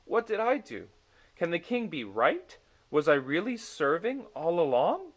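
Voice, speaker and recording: An anxious storybook messenger full of self-doubt, voiced by a male reader. He frets and questions himself, his pitch raised and swinging. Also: sing-song, unhurried, fairly bright tone, heavily acted. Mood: bewildered.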